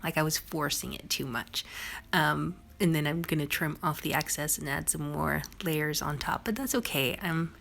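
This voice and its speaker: Raspy, hoarse low-voiced woman. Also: moderate pace, a bit bright, slight background noise. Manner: chatty, bubbly, lots of "like" and "um". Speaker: crafting vlogger. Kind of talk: casual voice-over narration of a craft video.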